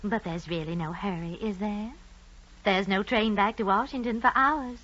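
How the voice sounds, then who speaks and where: An actress in an old radio drama playing a genteel, effusive, evasive society hostess who repeats herself. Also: female voice, mid-range voice, moderate pace, a bit bright, close to an everyday voice. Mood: evasive, polite. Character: gushing, evasive society hostess, politely sly debtor. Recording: dull vintage radio broadcast sound.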